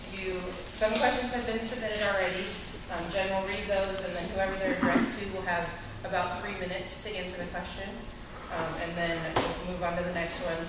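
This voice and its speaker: A woman's voice: mid-range voice, steady tone, moderate pace. Hesitant woman organizer, echoey hall. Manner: hesitant, many ums. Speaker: community club organizer. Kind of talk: announcements at a town meeting. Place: community center hall. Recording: echoey hall from far off, noisy.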